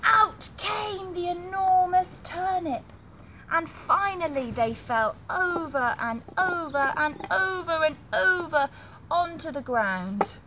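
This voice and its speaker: High-pitched, very animated woman storyteller. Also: background noise. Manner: animated, deliberate, with repeated emphatic phrases. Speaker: nursery storyteller. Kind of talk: expressive read-aloud storytelling for young children.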